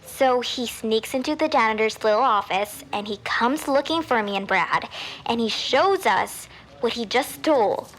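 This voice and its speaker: An actress playing a chatty high school girl who gives candid, casual testimony in an audio drama. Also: animated, moderate pace, fairly bright tone, poor audio.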